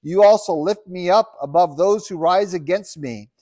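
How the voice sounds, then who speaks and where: A man's voice: high-pitched, normal speed, warm tone, dry recording. A middle-aged man from Uganda preaching a sermon as pastor, earnest, rising to emphatic scripture reading. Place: church.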